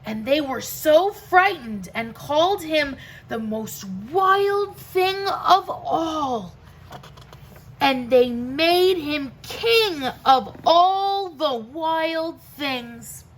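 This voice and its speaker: Female storybook reader for young kids: high voice, very unhurried pace, expressive and full of dramatic pauses. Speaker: teacher reading aloud, show host. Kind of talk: slow storybook read-aloud for young children.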